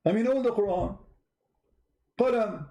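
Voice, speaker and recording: A man speaks in a soft-edged, mellow voice that sits a little high for a male. His English has grammar. He argues informally as a live-stream host, repeating himself and throwing out mocking, challenging questions. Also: measured pace. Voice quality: non-native English grammar.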